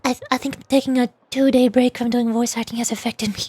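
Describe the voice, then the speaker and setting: A woman's voice: on the high side, little pitch movement, brisk, a little mellow, breathy edge, no room sound. A playful, giggly woman chatting casually as she streams a game. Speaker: streamer voice acting game dialogue. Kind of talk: casual livestream chat. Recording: music behind.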